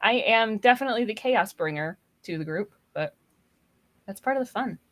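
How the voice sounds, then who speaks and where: A woman's voice: mid-range voice, expressive, moderate pace, fairly bright tone. A woman co-hosting a podcast, chatting casually in a playful, teasing manner. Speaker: podcast co-host. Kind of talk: casual chat.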